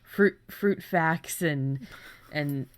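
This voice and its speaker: A woman's voice: deep voice, extremely slow, clear, resonant tone. A woman in her thirties co-hosting a casual writing chat, sharing story ideas in a playful, joking way. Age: 30s. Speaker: writer and co-host.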